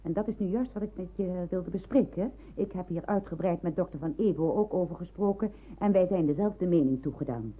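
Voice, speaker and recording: A woman acting a strict, brisk hospital matron in an old radio play, formal and emphatic. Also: fairly low pitch, breathy edge. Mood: brisk, authoritative. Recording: narrow-band old radio recording.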